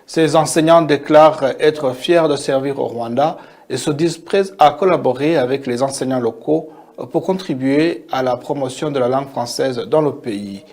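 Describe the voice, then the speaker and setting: A man's voice: medium pitch, normal speed, a little mellow. A man presenting scripted news in a formal register.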